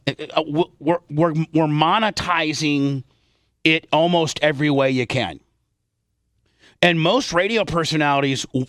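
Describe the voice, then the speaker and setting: A man's voice: on the high side, fairly bright tone, no room sound, clean studio sound. A man hosting a radio show with unscripted talk, blunt and salty in the way he speaks.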